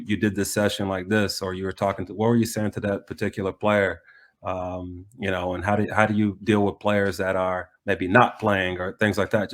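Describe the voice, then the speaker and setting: An American man talking reflectively in a conversational interview, often pausing mid-thought to restate himself. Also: low-pitched, a little hiss or hum. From United States. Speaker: soccer coach being interviewed.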